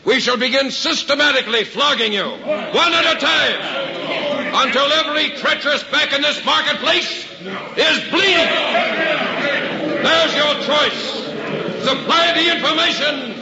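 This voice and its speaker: A man's voice: very high-pitched, a little slow. An actor playing a harsh Roman officer in a 1950s radio drama, commanding and contemptuous as he declaims. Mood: menacing, contemptuous. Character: harsh Roman officer threatening a marketplace crowd. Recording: muffled old radio, long hall reverb, crowd.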